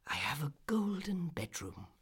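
A storyteller voicing a small, vain, brisk male swallow from a storybook, exclaiming bluntly and quick to complain. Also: medium pitch, very animated, husky, full character voice. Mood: pleased. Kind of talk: storybook character voice.

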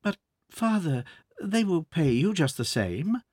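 A man's voice: fairly high pitch, sing-song, unhurried, a little mellow, slightly rough, dry recording, clean studio sound, pitched above the performer's own voice, exaggerated voice. A man reading aloud, voicing a naive, earnest young French daughter in a raised voice.

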